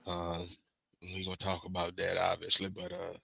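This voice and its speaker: A man with a cold hosts a podcast in loose, animated, slangy chat. His tone is strikingly bright and cutting. The sound is close and dry, with no room around it. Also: sits low, moderate pace, gravelly, husky, dry recording. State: has a cold. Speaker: podcast host. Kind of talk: casual chat. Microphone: remote stream mic.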